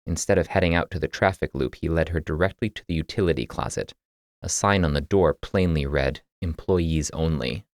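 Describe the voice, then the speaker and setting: A man's voice: rumbling low, a little fast, dry recording, clean studio sound. A man reading scripted fiction as an audiobook narrator.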